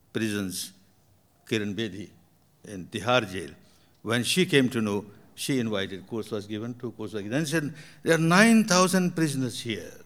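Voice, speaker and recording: An older man from Burma, a meditation teacher answering audience questions in emphatic, repetitive phrasing. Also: mid-range voice.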